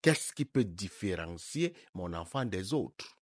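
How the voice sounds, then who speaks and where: A man's voice: low voice, big pitch swings, takes it slowly, breathy edge, no echo. Emphatic man, dry sound. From Africa. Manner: emphatic, preaching, full of rhetorical questions. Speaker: life coach. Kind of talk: opinion monologue to camera.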